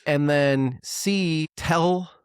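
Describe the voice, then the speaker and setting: A man's voice: fairly high pitch, extremely slow, clear, resonant tone, dry recording, clean studio sound. A man co-hosting a music podcast, chatting casually with sarcastic jokes and swearing. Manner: joking, profane, sarcastic. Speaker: podcast co-host.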